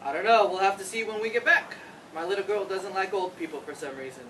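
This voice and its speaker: A man's voice: sits high, quick pace, close to an everyday voice. A man playing a grieving, regretful adult son in a staged reading of a play. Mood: light, joking. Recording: distant mic, noisy room.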